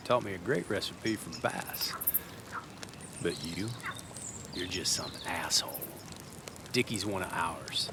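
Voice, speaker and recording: Game role: gruff, protective outcast. Lowish voice, curt, confrontational, sarcastic. Clear outdoor noise. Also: male voice. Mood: menacing. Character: gruff, threatening, loyal male outcast guarding his friends. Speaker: hostile local character. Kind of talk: video game dialogue.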